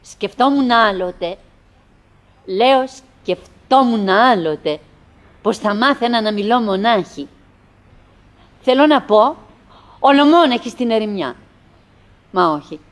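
An actress playing a wistful, lonely, chattering wife in a theatrical radio play, musing in fragments and repeating herself. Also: female voice, a little high, lively intonation, natural voice. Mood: musing, melancholy. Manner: fragmented, repetitive musing with pauses and self-address.

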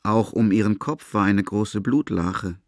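A man reads literary narration for an audio drama. His voice is on the low side, and the recording is dry, with very little room around it. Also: a little slow, a little mellow, no room sound.

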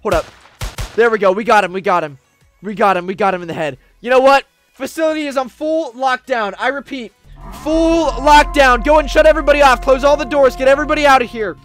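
A man doing upbeat roleplay commentary over a game, shouting commands when things get tense. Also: very high voice, brisk. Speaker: gaming YouTuber. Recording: faint background music.

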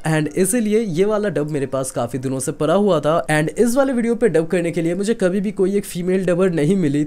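A man's voice: high voice, a little mellow. A young man chats casually to camera as a channel host, close to the microphone. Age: young adult. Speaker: fan-dub channel creator and host. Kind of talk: casual chat to camera, mixing Hindi with English. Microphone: close microphone on a stand.

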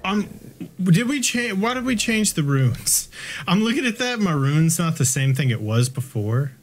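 A man's voice: sits high, very animated, moderate pace. A middle-aged man chatting casually as he plays a game, muttering sweary reactions. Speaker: gaming show co-host playing the game. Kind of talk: casual gameplay chat. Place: indoor streaming room.

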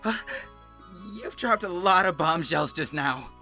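A man's voice: on the high side, animated, measured pace, bright, forceful tone, soft background music, full character voice. A man voicing an awkward teenage wolf, hesitant and incredulous, in a manga dub. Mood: uneasy, questioning.

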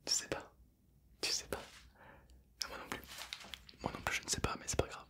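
A man in his twenties voicing a friendly, calm, brain-loving ghost zombie in a playful, soothing ASMR roleplay. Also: moderate pace, lightly acted. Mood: gentle, playful. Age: 20s.

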